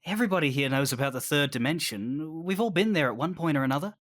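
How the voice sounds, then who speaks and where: An actor as a polite, lively tailed creature guard. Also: mid-range voice, quick pace, dry recording, studio quality, close to an everyday voice. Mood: helpful, hesitant. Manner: courteous, hesitant, deferential. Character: polite, good-natured tailed guard in fantasy courthouse. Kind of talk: audio drama acting.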